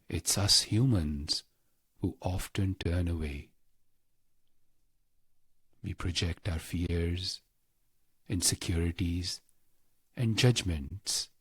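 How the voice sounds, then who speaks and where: A man with a husky, breathy voice guides a scripted meditation in short, deliberate phrases, solemn and devotional. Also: deep voice, no room sound, clean studio sound. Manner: slow, deliberate, pausing between short phrases.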